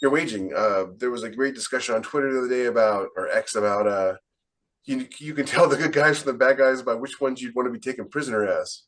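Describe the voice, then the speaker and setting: A man's voice: medium pitch, quick pace. A man hosting a casual podcast discussion, opinionated and acting out the exchanges he retells. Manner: animated retelling, acts out quoted exchanges, opinionated. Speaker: podcast host and fiction author. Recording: distant from the microphone.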